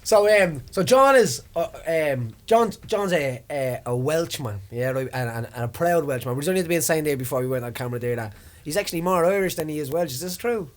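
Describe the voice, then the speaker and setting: A man hosts a relaxed chat show and introduces his guest. His voice sits a little high for a man. He stumbles over restarts and laughs often between questions. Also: brisk. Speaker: host. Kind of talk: casual chat, interviewing.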